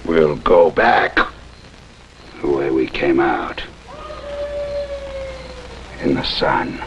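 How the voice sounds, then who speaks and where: Western colonel: crippled, deluded, proud; very slow, gravel-deep, brooding. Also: male voice, lo-fi sound, full character voice. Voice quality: gravelly, creaky. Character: bitter, delusional, crippled old army colonel in a western. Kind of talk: 1950s TV western acting. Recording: noisy old TV soundtrack, rain-like hiss.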